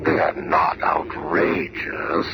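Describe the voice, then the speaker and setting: An actor playing a pompous, gloating megalomaniac supervillain in a 1970s radio drama, with a deep, gravelly, menacing voice. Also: male voice, little pitch movement, slow pace, full character voice. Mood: triumphant, grandiose. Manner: pompous, menacing, formal theatrical speech. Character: diabolical gloating megalomaniac male supervillain scientist. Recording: very noisy old radio show recording.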